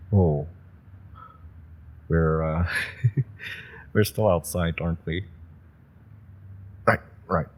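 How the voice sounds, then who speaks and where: Guy doing a nervous young rookie villain, low husky voice, stammers a lot. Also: a little mellow, full character voice. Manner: hesitant, stammering, many fillers. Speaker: listener roleplay actor. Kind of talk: audio roleplay.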